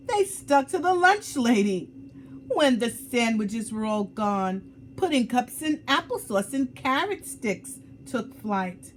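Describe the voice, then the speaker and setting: A woman reading a picture book aloud as a children's storyteller, with dramatic, drawn-out emphasis for young listeners. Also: mid-range voice, a little hiss or hum, poor audio.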